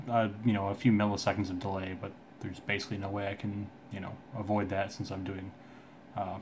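Male hobbyist presenter, fairly low and unvaried, hesitant off-the-cuff delivery, slight room noise. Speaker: IT technician and hobbyist demonstrating a project. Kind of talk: casual unscripted project walkthrough. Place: indoors at a home desk. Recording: light background room noise.

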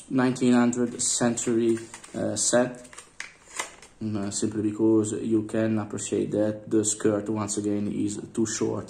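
Hoarse man, sore throat, slow halting speech, echoey room with faint noise. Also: medium pitch, a little mellow. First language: Italian. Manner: halting, many fillers and throat clearing. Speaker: vlogger. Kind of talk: casual unboxing commentary. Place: indoors at a table.

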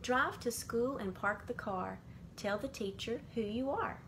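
A middle-aged woman reading a rhyming children's picture book aloud in a measured, sing-song way, as bedtime stories from a bedroom. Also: fairly high pitch, a bit bright. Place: small indoor bedroom. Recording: clearly noisy amateur home recording.

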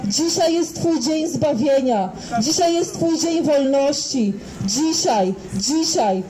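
A woman's voice: sits high, background noise. A young woman preaching on the street at an open-air market, speaking into a handheld microphone with fervent, repetitive declarations. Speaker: street evangelist. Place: outdoor open-air market by a busy road. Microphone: handheld microphone, far camera.

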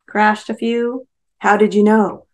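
A woman voicing a guarded, brisk female animal rescuer in a novel reading. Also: medium pitch, little pitch movement.

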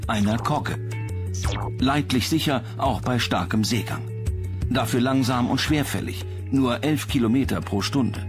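A man reading scripted documentary narration. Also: mid-range voice.

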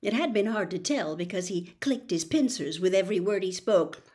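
A woman in her sixties reading a story aloud in a bedroom, with deliberate, suspenseful, theatrical narration. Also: medium pitch, lively intonation, warm tone. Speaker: storybook reader. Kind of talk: dramatic audiobook-style narration. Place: small bedroom, indoors.

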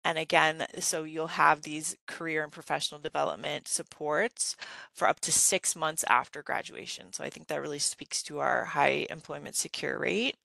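A woman's voice: sits low, steady tone, normal speed, vocal fry, sharp tone, dry recording. A woman presenting a graduate business program in a webinar, talking through slides in a conversational way with many fillers.

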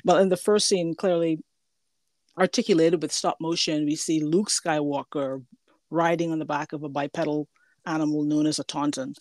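A podcast host talking casually about films in a halting, self-correcting way. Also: medium pitch, fairly even intonation, a little mellow, no echo. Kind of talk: casual movie discussion.

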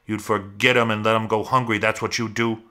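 A man acts out a huge, spiteful storybook rabbit with a bright, hard-edged voice. It jeers and browbeats in rustic speech, mean and taunting. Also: lowish voice, flat delivery, fairly quick. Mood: contemptuous. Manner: jeering, browbeating rustic talk.

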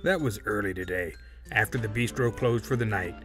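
Casting: a man as a contemptuous courtroom suspect in audio drama, formal, guarded, with a sharp edge. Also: on the low side, fairly quick, faint music behind, close to an everyday voice. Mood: resigned, bitter. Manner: formal, haughty, needling.

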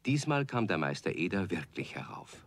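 A man narrating a children's audio play as a measured storyteller. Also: sits low, sing-song, gravelly, a little hiss or hum, exaggerated voice. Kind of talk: scripted narration.

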